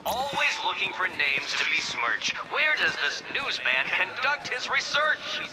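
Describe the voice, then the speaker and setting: An actor playing an eccentric video game villain, theatrical and repetitive, with loud noise around the voice. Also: male voice, high-pitched, sing-song, a little slow. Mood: aggrieved.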